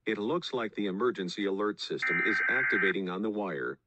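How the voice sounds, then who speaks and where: A man's voice: lowish voice, animated, fairly quick, heavy background noise. A man reading a scripted emergency news bulletin as an announcer in a mock broadcast. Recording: loud music over the voice. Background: loud music.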